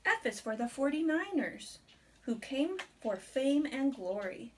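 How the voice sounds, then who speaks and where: Female storybook reader for young children, higher-pitched and very animated, expressive rhyming delivery, simple home recording. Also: measured pace, slight background noise. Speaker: read-aloud host reading to a small child. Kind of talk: picture-book read-aloud in rhyme with chatty asides to a child.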